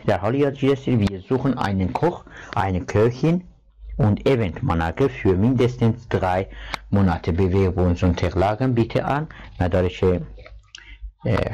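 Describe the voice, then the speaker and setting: A man teaching a German lesson, reading words and textbook passages aloud and quickly translating each one. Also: lowish voice, dark tone, lo-fi sound. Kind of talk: language lesson, glossing words.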